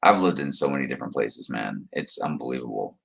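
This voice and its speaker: A man's voice: a bass voice, lively intonation, a little fast, vocal fry, fairly bright tone. A man hosting a livestream, chatting casually with viewers and reading their comments aloud as he rambles. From United States. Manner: rambling, chuckling, talks to viewers by name. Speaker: livestream host reading chat. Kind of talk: casual chat.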